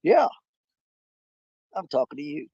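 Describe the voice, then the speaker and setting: Fishing host, man, hoarse and gravelly, folksy rambling talk to camera outdoors, muffled dry mic. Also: a little high, very animated, a little slow, mellow, no room sound. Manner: rambling, folksy, talks straight to viewers. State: hoarse, losing his voice. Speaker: fishing live-stream host. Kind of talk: casual live-stream chat. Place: outdoors at a small lake.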